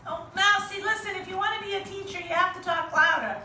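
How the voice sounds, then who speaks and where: A woman's voice: very high voice, fast talker, bright, forceful tone, full character voice. A woman voicing a frank, bossy girl puppet in a children's show, with a didactic, blunt, exasperated manner. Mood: instructive. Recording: roomy, distant mic, faint noise.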